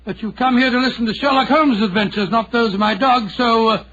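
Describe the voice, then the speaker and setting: A genial old british gent telling stories, high pitched, avuncular, bit hesitant, muffled 40s radio drama sound. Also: male voice, brisk, a bit bright. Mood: genial, reminiscing. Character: Doctor Watson, genial older English gentleman storyteller. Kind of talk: 1940s radio drama storytelling. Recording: muffled vintage 1940s radio recording.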